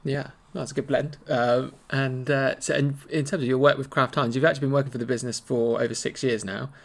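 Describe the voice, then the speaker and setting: A man's voice: mid-range voice, steady tone, brisk, gravelly. A man hosting a business podcast, asking questions in a friendly way with plenty of fillers. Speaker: podcast host. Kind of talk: business interview.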